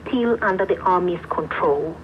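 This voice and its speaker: A woman's voice: lowish voice, moderate pace. A woman correspondent reading a scripted news report, heard over shortwave radio. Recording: muffled shortwave radio with light static. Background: faint radio static.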